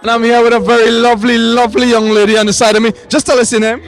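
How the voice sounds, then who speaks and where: A man's voice: extremely high pitch, flat delivery, very fast, soft background music. A man hosting chatty, joking interviews at an outdoor festival. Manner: chatty, jokey, many restarts. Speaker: roving festival host. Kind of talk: upbeat on-location interviewing.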